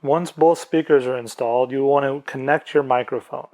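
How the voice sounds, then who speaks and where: A man's voice: mid-range voice, fairly even intonation, moderate pace, a little mellow, poor audio. A man presenting an instructional product tutorial, explaining plainly step by step.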